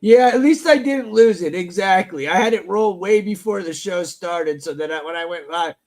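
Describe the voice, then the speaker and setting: A man with a high voice hosts a live online chat, his throat scratchy and sore. He talks casually and crudely, swears a lot and flares into loud outbursts. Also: normal speed. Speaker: livestream host.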